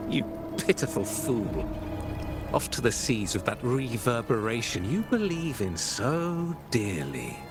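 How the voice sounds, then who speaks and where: An actor voicing a scornful, domineering zealot villain in a video game, with a theatrical, condescending delivery. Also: male voice, mid-range voice, very animated, slow pace, over loud music. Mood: contemptuous.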